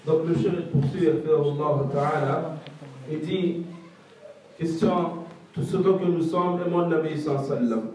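A man's voice: fairly high pitch, fairly even intonation, moderate pace, darkish tone, very echoey, far from the mic, a little hiss or hum, rough recording. A man whose first language is not French, giving a religious lesson that relays a scholar's answers, and often stopping to search for the right French words. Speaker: religious teacher.

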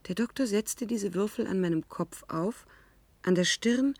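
A first-person narrator in a radio drama, recounting events soberly. Her mid-pitched voice is recorded close and dry, with measured, precise description. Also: darkish tone, no echo. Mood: intent, matter-of-fact. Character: sober female test subject recounting the experiment.

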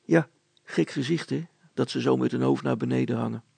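A man's voice: medium pitch, a little fast, gravelly, a little mellow, slightly rough, no echo. A man voicing an obedient, apologetic young boy in a storybook, with eager, meek replies.

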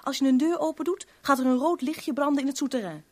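An actress in a Dutch radio drama playing a guarded, sharp-tongued young woman with a curt, irritable manner. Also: sits high, fast talker. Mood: urgent, guarded. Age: young adult.

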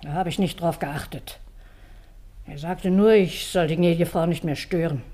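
Husky, hard, elderly housekeeper played by an actress. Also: female voice, on the low side, steady tone, normal speed, dark tone, natural voice. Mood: curt, guarded. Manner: curt, embittered. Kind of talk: radio play acting.

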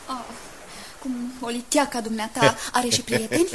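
A woman acting an anxious, proud young wife in a radio drama. Her voice is fairly high and soft, guarded and brief, with faint noise behind it. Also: steady tone, lightly acted. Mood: defensive. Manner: guarded, soft-spoken, curt. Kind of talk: 1980s radio play acting.

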